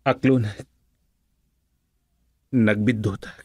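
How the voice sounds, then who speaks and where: A man's voice: lowish voice, steady tone, extremely slow, no echo. A man with a Filipino accent acting a contrite young suitor in a radio drama, subdued and pleading. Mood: remorseful.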